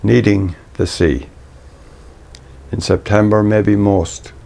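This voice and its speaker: Slow, deliberate Irish man reading poetry. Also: on the low side, fairly even intonation, gravelly, mellow. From Ireland. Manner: measured, deliberate, with pauses between short phrases. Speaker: poet reading own work.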